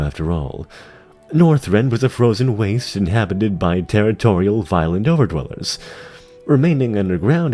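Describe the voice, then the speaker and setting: A man's voice: fairly low pitch, dark tone. A man reading scripted fantasy narration in a dramatic storytelling style.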